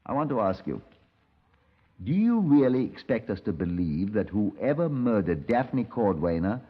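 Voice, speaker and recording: An actor playing an exasperated, sardonic detective in a 1950s radio drama. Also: male voice, fairly low pitch, very animated, unhurried, breathy edge, full character voice. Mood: incredulous. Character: exasperated, dry Scotland Yard detective, male narrator. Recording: dull 1950s radio recording.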